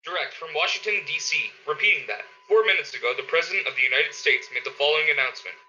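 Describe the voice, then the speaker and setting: A man's voice: fairly high pitch, quick pace, bright, forceful tone, slight background noise. A man playing an urgent radio announcer who reads an emergency bulletin in a formal, clipped way. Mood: urgent, grave. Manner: formal, clipped, repeating key orders. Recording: tinny phone-like radio sound.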